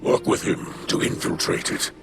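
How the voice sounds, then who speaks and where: An actor playing a stern, bitter alien father and warlord in a video game, with a gravelly voice and a formal, menacing manner. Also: normal speed, lightly acted. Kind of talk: scripted video game dialogue. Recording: music behind the voice. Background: game music.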